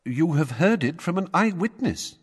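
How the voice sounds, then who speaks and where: A man's voice: fairly high pitch, big pitch swings, breathy edge, in a large hall, voice pushed up. A man doing a mild, courteous old wizard. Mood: calm, courteous. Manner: mild, polite, measured. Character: calm, courteous old male wizard, quietly firm.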